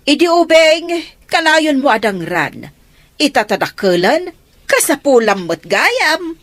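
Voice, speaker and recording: An actress playing an exasperated mother in an Ilocano radio drama, reproachful and expressive. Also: sits high, takes it slowly, dry recording, exaggerated voice. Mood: exasperated, scolding. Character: exasperated, scolding mother of grown daughters.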